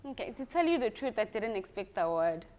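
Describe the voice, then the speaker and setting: A woman's voice: medium pitch, very animated, fast talker, a little mellow, a little hiss or hum. A young South African woman doctor, telling her story in an interview and mixing isiZulu into her English. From South Africa, KwaZulu-Natal. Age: young adult. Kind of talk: casual interview chat.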